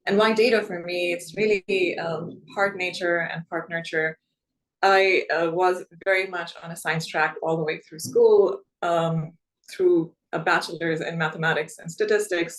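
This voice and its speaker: A woman from Pakistan moderates an online panel over a video call. Her voice sits slightly low, and she keeps things organized and clear as she hands over to each speaker. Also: fairly even intonation, moderate pace, low-quality recording. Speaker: webinar host and chief program officer.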